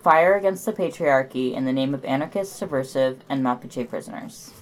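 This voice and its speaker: A woman's voice: rumbling low, brisk, rough recording. A woman hosting a podcast, reading scripted news items and reviews with her own commentary.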